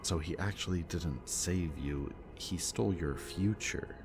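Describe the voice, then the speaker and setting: A man speaking a gentle, hesitant roleplay monologue to the listener as a soft-spoken villain. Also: deep voice, unhurried, over music.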